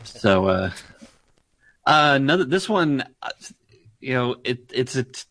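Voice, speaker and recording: A man's voice: medium pitch, big pitch swings, unhurried, a bit bright, dry recording, low-quality recording. A man hosting a casual tech news chat, rambling with fillers and laughing often. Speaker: podcast host.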